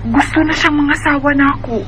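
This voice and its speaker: A woman's voice: high voice, fast, fairly bright tone, slight background noise. An actress playing an earnest young woman in love in a radio drama, pleading and pressing for answers. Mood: troubled, affectionate. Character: earnest, insistent young woman in love with her best friend. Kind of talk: sentimental radio drama acting. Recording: background music under the voice.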